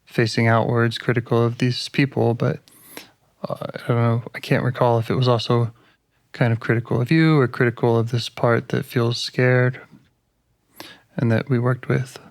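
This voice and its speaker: A man leading a live therapy session over a call, gentle and calm, pausing to reflect as he speaks. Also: medium pitch, gravelly, no echo. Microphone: call audio.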